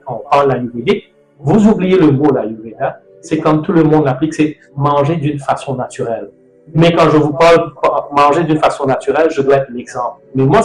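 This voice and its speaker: A middle-aged man from Mauritius hosting a casual talk on food, rambling and correcting himself as he goes. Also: a little high. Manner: rambling, loose, self-correcting. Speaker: host. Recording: echoey room with music. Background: soft music.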